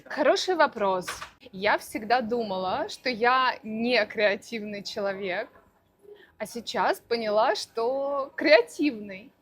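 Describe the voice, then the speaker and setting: A woman language teacher answering interview questions for learners, speaking slowly and deliberately with careful articulation. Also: a little high, a little hiss or hum. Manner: slow, deliberate, clearly articulated.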